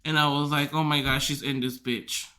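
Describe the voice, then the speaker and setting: Bright, loud-voiced man telling stories. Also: fairly high pitch, level tone, moderate pace. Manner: loud, swears freely, filler-heavy rambling. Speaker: podcast host. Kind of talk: casual storytelling chat.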